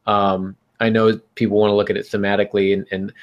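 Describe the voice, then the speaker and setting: A man's voice: fairly low pitch, flat delivery, fast talker, highs cut off. A man co-hosting a podcast, giving advice in an informal, emphatic way and often interrupting himself. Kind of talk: conversational advice talk.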